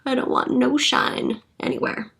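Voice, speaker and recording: A woman's voice: high voice, big pitch swings, unhurried, gravelly, fairly bright tone, slightly rough. Chatty woman with a slight cold. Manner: chatty, upbeat, thinking aloud while working. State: congested from a cold, sniffly. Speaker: beauty vlogger, hair and makeup artist. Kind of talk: casual get-ready-with-me tutorial.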